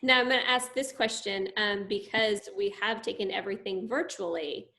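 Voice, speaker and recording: A middle-aged woman interviewing a guest in a relaxed, chatty way, affirming often and leaning on fillers. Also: medium pitch, moderate pace, poor audio. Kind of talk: conversational interview.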